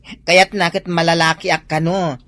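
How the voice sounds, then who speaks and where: A woman's voice: lowish voice, little pitch movement, normal speed, fairly bright tone, no echo, lightly acted. A woman acting a downhearted, effeminate young farmer's son with a plaintive manner in a comedy drama. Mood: plaintive, hurt.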